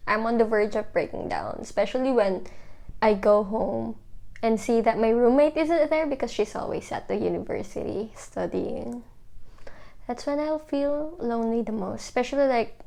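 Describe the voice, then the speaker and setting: A young woman from the Philippines speaking softly and hesitantly in a reflective documentary interview, with many fillers. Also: mid-range voice, gravelly.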